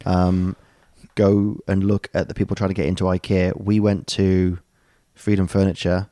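A man with a very low voice rambles through a casual story, filling pauses with ums and tossing in jokey asides. The sound is dry and close, with no room around it. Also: level tone, warm tone, dry recording. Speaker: podcast co-host. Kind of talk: casual chat.

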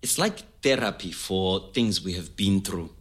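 An actor playing an earnest, philosophical man, speaking haltingly and deliberately in an interview-style audio drama. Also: deep voice, lively intonation. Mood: reflective. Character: Zimbabwean man, earnest, philosophical, talkative filmmaker. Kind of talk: scripted oral-history audio drama told as interview.

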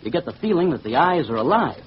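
An actor playing a suave, dramatic gentleman in an emphatic, theatrical 1940s radio drama style. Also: fairly high pitch, sing-song, fast. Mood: earnest warning. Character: suave, dramatic gentleman host and art owner. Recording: old muffled radio recording, faint hiss.

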